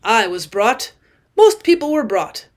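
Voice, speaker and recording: Give me a woman playing an excitable drunk middle-aged man, loud and insistent, on the high side. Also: unhurried. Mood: excited drunk. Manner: loud, emphatic, rambling repetition.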